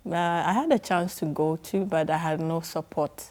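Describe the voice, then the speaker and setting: Ghanaian woman guest, low pitch, earnest, pauses to think, casual interview talk. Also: darkish tone. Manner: earnest, with frequent hesitations and laughs. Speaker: guest, restaurant owner.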